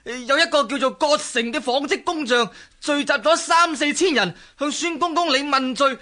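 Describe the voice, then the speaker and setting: A servile, frightened underling in a historical radio play. The voice is high and piercing. He speaks urgently and deferentially, as if to a feared master. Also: moderate pace, sharp tone. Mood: panicked. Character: panicky, servile male underling reporting to his master. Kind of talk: Cantonese historical radio drama acting.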